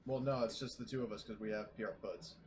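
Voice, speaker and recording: A man's voice: fairly low pitch, fast, darkish tone. A man playing a tabletop game, chatting casually with the others as he decides on moves.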